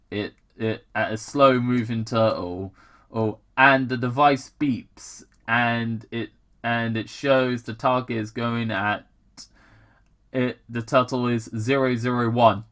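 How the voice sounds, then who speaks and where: A man's voice: medium pitch, a bit bright. A man casually reading fan fiction aloud with commentary, stumbling over words, repeating himself and swearing in asides.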